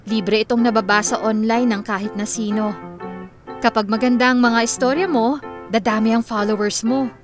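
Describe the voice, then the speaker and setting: An actress playing a gentle, generous young woman writer in a Filipino radio drama, speaking politely. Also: on the high side, steady tone, moderate pace, music in the background, lightly acted. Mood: reassuring, gentle.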